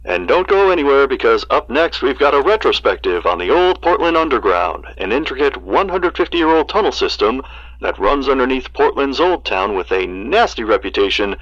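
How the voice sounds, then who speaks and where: A man's voice: medium pitch, fairly bright tone, dry recording, lo-fi sound. A man playing a polished local television news anchor reading a scripted lead-in.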